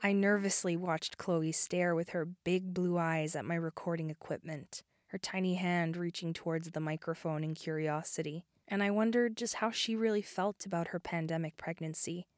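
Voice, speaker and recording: Lady reporter reading her narration, calm and measured, kinda low voice. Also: no echo, studio quality. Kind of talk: scripted narration.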